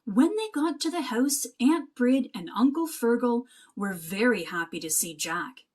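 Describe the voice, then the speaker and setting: A woman narrating a children's picture book aloud as an expressive, animated storyteller. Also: fairly high pitch, a little slow.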